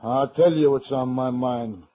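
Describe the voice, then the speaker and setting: An actor playing a domineering, angry older cattle baron in a 1950s western radio drama, blustering and demanding. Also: male voice, medium pitch, unhurried, warm tone, lightly acted. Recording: noisy 1950s radio recording.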